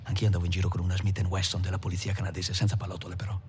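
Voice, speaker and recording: An actor playing a swaggering gangster in a film, reminiscing colloquially with stammered repetitions. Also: male voice, deep voice, completely flat delivery, rapid-fire, a little mellow, natural voice. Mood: boastful. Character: swaggering Italian gangster recalling his small-time bravado. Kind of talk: dramatic monologue, conversational reminiscing. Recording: reverberant hall, background noise.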